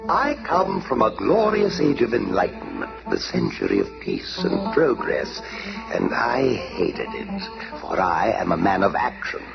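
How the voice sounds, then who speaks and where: An actor playing a grandiose villainous conqueror posing as a pharaoh in a 1970s radio drama, proclaiming pompously and theatrically. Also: male voice, low voice, huge pitch swings, measured pace, creaky, full character voice, rough recording. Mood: boastful, theatrical. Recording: 1970s radio recording with music behind.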